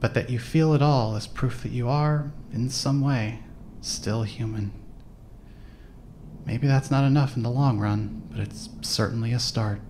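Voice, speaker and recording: A man's voice: medium pitch, measured pace, a little mellow, a little hiss or hum, close to an everyday voice. A man reading scripted second-person narration for a fan-made audio drama.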